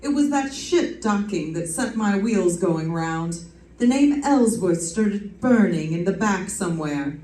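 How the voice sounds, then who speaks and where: A woman playing a wisecracking, brisk lady private detective in an old-time radio drama, cool under fire. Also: mid-range voice, expressive, dark tone, natural voice. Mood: wry. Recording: roomy stage recording, distant mic.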